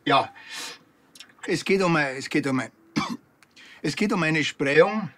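An actor playing a grumpy, blunt small-town policeman with a Bavarian accent and a rough edge. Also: fairly high pitch, animated, slow, sharp tone, exaggerated voice. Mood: halting.

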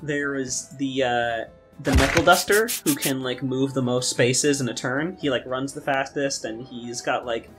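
A young man chatting casually and rambling, often halting and repeating his words. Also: medium pitch, fairly bright tone. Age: young adult. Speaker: podcast guest and game maker. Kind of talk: casual chat. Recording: music playing under the talk. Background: guitar music.

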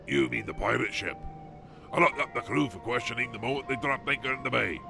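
A man plays a stern fantasy sea commander, curt and formal. His voice is gravelly and mid-pitched, with music clearly playing behind it. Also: a little fast, close to an everyday voice. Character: stern, guarded naval commander in a fantasy port. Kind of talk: fan-made fantasy game drama acting.

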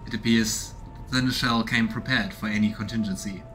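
A man's voice: fairly low pitch, steady tone, fairly quick, a bit bright, a little hiss or hum. A man in his thirties doing casual game commentary and reading narration aloud as he streams. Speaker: let's play streamer voicing a game. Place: small room indoors at home. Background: game music.

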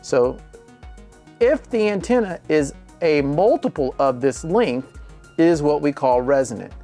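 A man with a slightly creaky voice, explaining step by step as host of a tech tutorial. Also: fairly high pitch, expressive, measured pace, warm tone, soft background music.

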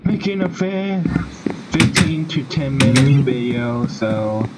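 A man giving casual gameplay commentary in a halting way, with sentences that trail off. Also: mid-range voice, lively intonation, over loud music. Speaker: gaming video host. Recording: very noisy home game recording with clicks.

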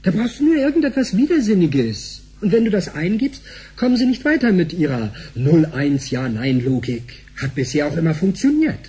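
Husky, cheeky young man. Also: on the high side, normal speed, exaggerated voice. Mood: excited. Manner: animated storytelling, slangy with English bits. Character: cheeky, excitable young man, a tech tinkerer. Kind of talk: German sci-fi radio drama dialogue.

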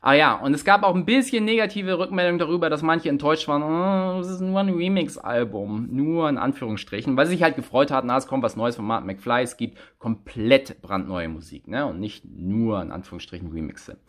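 A man in his thirties, a rapper, telling a story and chatting casually into a phone held close at arm's length in a small room, with lively, animated pitch swings. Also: a little fast, hardly any pauses. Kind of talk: casual selfie vlog storytelling.

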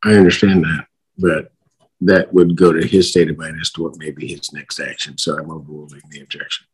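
A middle-aged man with a husky voice, speaking as a judge giving formal rulings in a measured, authoritative manner. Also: a bass voice, flat delivery, rough recording. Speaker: judge presiding over a hearing. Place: courtroom bench, seen on video call.

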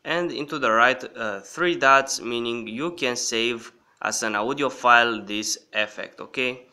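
Slow-talking man with a bright, sharp voice. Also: medium pitch, clean tone. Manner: halting, repeatedly checking in with "okay". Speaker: host of an app review channel. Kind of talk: casual screen-recorded app walkthrough.

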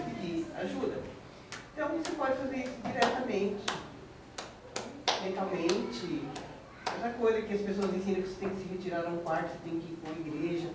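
A woman's voice: mid-range voice, recorded from a distance, background noise. An older Brazilian woman from the audience, giving a hesitant, rambling comment full of fillers. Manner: hesitant, full of "tipo assim", laughs nervously. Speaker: audience member. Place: small room in a spiritist center. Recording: echoey room, picked up from afar.

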